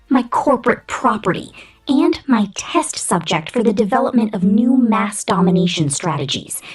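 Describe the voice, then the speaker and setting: A woman's voice: mid-range voice, a little mellow. A woman voicing a domineering, sadistic female corporate killer robot in a menacing, half-whispered ASMR roleplay monologue. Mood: cold, domineering. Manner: menacing, contemptuous, commanding, breathy half-whispered delivery.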